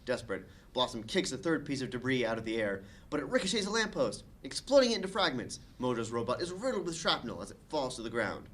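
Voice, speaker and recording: A man's voice: medium pitch, sing-song, fast, few pauses, a bit bright. A man reading scene directions as narrator at a comedic table read.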